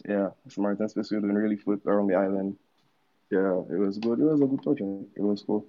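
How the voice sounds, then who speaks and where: A man's voice: fairly low pitch, fairly even intonation, quick pace, muffled recording. A man in his thirties from Dominica with a Dominican accent, a musician telling his story in a casual, laid-back interview with slangy asides. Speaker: musician guest.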